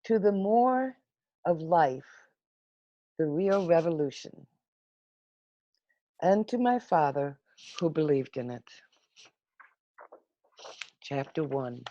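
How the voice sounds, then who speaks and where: Slow, very animated woman storyteller. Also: deep voice, no room sound. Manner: expressive, unhurried with dramatic pauses. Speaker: storybook reader. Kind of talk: read-aloud children's story narration. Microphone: video call. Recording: muffled, lo-fi video call audio.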